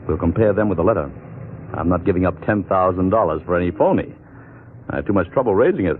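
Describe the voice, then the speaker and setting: An actor playing a practical, dry-witted husband and amateur sleuth in a 1940s radio mystery. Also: male voice, deep voice, expressive, quick pace, faint music behind. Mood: matter-of-fact. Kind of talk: 1940s radio drama acting. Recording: noisy, narrow-band 1940s radio recording.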